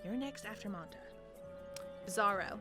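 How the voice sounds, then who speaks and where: A woman's voice: medium pitch, lively intonation, normal speed, over loud music, lightly acted. A woman playing a sardonic, impatient female supervillain in a comic radio play, dry and snippy. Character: irritable, sardonic female supervillain stuck taking roll call.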